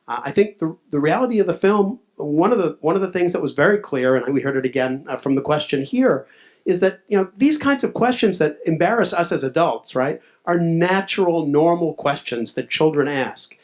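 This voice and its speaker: A man from a nonprofit answering audience questions on a panel, measured and explanatory with frequent fillers. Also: fairly high pitch, expressive. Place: live event room at a cafe screening.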